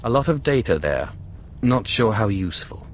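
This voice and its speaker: A man's voice: lowish voice, sing-song, moderate pace, slight background noise. A man playing a confident, blunt Sith adventurer in a video game, speaking in a terse, self-assured way. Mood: dry, questioning. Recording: music under the voice.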